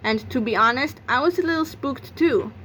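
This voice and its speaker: A woman's voice: high-pitched, normal speed, a bit bright, a little hiss or hum, low-quality recording. A woman narrating a read-aloud of a children's comic novel, acting out its exclamations and sound effects. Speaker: book narrator.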